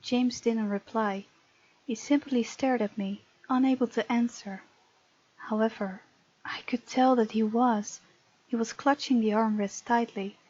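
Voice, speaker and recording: A woman voicing a bold, tender young heroine who narrates a visual novel romance in a breathy, often whispery voice. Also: fairly high pitch, darkish tone, dry recording. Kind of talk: hushed dramatic reading of a visual novel. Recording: muffled lo-fi mic, music underneath.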